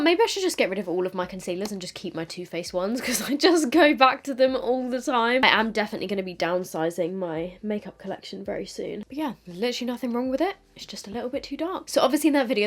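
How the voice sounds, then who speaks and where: A young woman vlogging about her makeup in a casual, frank and self-deprecating way, sounding a little stuffy from a cold. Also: mid-range voice. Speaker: beauty vlogger. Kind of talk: casual makeup chat to camera.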